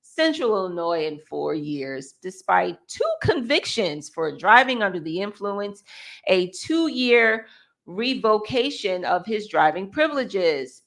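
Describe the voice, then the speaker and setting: A woman's voice: medium pitch, sing-song, slow pace, fairly bright tone. An American woman reading a news article aloud as a co-host, with casual asides and the occasional stumble over words.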